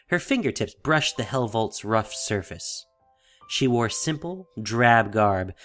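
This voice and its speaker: A man narrating a fantasy audio drama in a deliberate, theatrical storytelling style. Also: medium pitch, fairly bright tone. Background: music.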